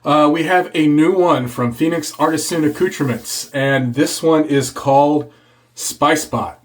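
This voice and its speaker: A man hosting a casual shaving video, congested and a bit hoarse from a cold, chatting as he lathers. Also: fairly high pitch, unhurried. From Texas. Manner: chatty, reads product blurb aloud. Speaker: shaving channel host. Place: home office.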